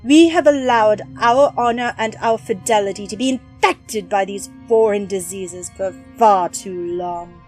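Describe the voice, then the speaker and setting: A woman's voice: medium pitch, measured pace, hardly any pauses, faint music behind, lightly acted, rough recording. A woman playing a proud, ruthless female ninja clan leader, giving solemn, formal oratory in a comic-book audio drama. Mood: solemn, resolute.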